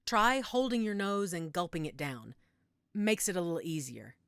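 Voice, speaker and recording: A woman's voice: fairly low pitch, sing-song, moderate pace, a bit bright, clean tone, no room sound, studio quality. A woman playing a frank, caring female werewolf in an audio roleplay, matter-of-fact and reassuring with a wry edge. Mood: soothing, practical. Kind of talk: audio roleplay drama, one-sided conversation.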